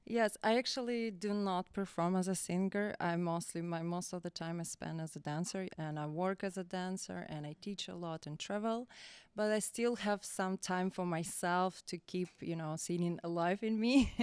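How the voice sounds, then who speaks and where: A young woman from Russia with a Russian accent, guest in a casual interview, talking haltingly, searching for words and laughing often. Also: fairly low pitch, a little mellow, smooth, dry recording. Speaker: guest belly dancer.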